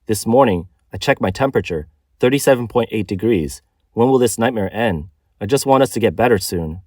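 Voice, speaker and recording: A man's voice: fairly low pitch, lively intonation, fairly quick, a little mellow, no echo, studio quality. A man reading a scripted news narration as the narrator of a report.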